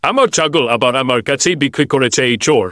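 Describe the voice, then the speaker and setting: A man's voice: mid-range voice, lively intonation, fast talker, a bit bright, dry recording, clean studio sound, lightly acted. A man playing an indignant, moralizing villager in a comedy cartoon, with a preachy manner. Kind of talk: cartoon voice acting.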